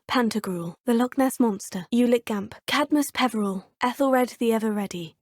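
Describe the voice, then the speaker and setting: A woman's voice: on the high side, a little mellow, breathy edge, dry recording, studio quality. An actress voicing an earnest, polite teenage witch student in a game, speaking formally and often murmuring to herself. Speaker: player character. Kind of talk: game voice lines.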